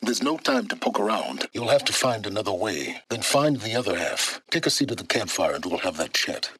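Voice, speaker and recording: A secretive, learned middle-aged man from a video game, with a very low and husky voice. He speaks in formal, precise phrases, commanding and condescending, and his pitch moves with great expression. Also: very animated, fairly quick, sharp tone, dry recording, exaggerated voice. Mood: curt, instructing. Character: secretive, learned older male wanderer, imperious and impatient. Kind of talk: video game character dialogue.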